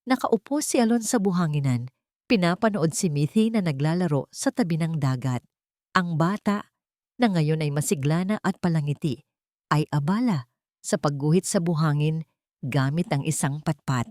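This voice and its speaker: A woman's voice: deep voice, lively intonation, normal speed, a little mellow, no echo, studio quality. A woman giving an earnest, scripted dramatic narration as a sentimental storyteller. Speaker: storyteller and channel host.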